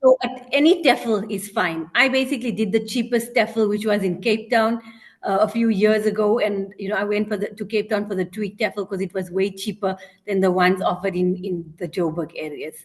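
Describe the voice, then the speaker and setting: A woman with a South African accent speaks as a guest on a casual podcast. She pauses often and fills the gaps with ums and "you know". Her voice sits at an ordinary middle pitch. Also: fairly even intonation. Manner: hesitant, frequent ums and "you know" fillers. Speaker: guest, teacher working abroad.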